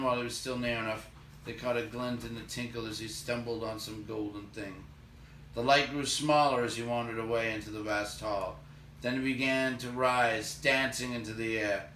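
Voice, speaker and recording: A man reading a fantasy novel aloud as an expressive narrator, with dramatic, suspenseful storytelling. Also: medium pitch. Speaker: storybook reader. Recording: echoey room, distant mic, faint noise.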